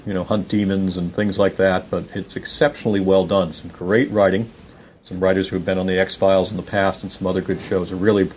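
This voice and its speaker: A man's voice: sits low, a little mellow. A man giving a casual spoken review of TV shows as a listener feedback message. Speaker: podcast listener leaving feedback. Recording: muffled, noisy home-recorded audio message.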